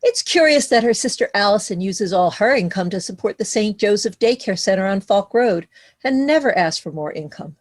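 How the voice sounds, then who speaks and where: A woman's voice: medium pitch, expressive, moderate pace, close to an everyday voice. A woman playing a sensible, matter-of-fact aunt in a read-through of a stage musical. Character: sensible, matter-of-fact aunt who raised her nieces. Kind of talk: musical table read, scripted dialogue. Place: recorded online.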